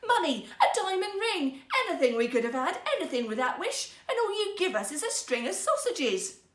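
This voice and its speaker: A woman voicing a scornful, sharp-tongued, quick-witted wife in a fairytale, with theatrical exclamations. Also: sits high, sing-song, pitched above the performer's own voice. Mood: furious. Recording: roomy, echoing sound.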